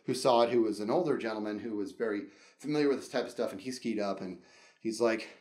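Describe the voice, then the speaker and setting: A middle-aged man telling a casual, rambling comedic story in an animated way, acting out dialogue and reactions as he goes. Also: on the low side, fast, reverberant. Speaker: storyteller and show host.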